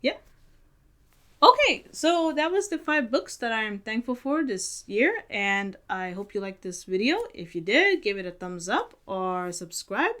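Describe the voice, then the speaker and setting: A woman's voice: fairly high pitch, very animated, measured pace, a bit bright. A young woman from Sweden talking earnestly in a casual book vlog, with many fillers and restarts. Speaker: book vlogger.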